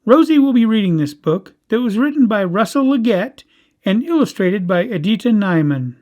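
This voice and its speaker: A woman's voice: medium pitch, sing-song, moderate pace, warm tone. A woman announcer giving a scripted introduction to a children's audiobook.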